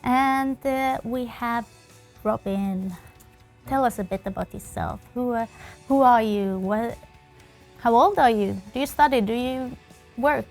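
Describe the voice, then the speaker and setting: A woman hosting a casual panel chat, firing off questions in quick lists with fillers. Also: fairly high pitch, darkish tone, faint music behind. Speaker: host.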